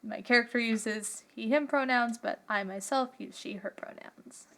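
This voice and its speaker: A woman's voice: a little high, normal speed, a bit bright. A woman chatting casually as a player on a tabletop podcast, giggly and teasing. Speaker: podcast cast member, player. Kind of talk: casual joking chat.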